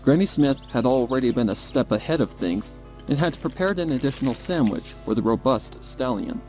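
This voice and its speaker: A man's voice: mid-range voice, lively intonation, brisk, a little mellow. A man reading a scripted story as a dramatic narrator. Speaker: narrator of a fan fiction reading. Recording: music behind the voice.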